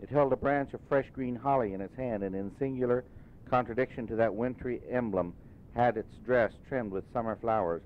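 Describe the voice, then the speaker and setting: A man narrating a literary story as an audiobook reader, in deliberate phrases. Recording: muffled phone-like audio, rumbling noise.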